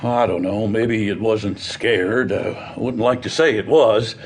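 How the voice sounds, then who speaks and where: An actor playing an earnest, hesitant farmer giving witness in an audio drama, with an American accent and halting, folksy storytelling. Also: male voice, on the low side, slight background noise. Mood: hesitant, uneasy. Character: plain-spoken farmer witness, earnest and hesitant, recounting a grim discovery. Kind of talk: audio theatre acting.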